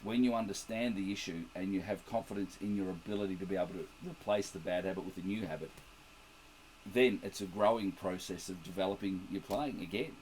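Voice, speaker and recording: A middle-aged Australian man with a croaky voice, a trumpet teacher talking earnestly and encouragingly in a direct video message, rambling with restarts. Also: deep voice, fairly quick, a little mellow, a little hiss or hum, low-quality recording.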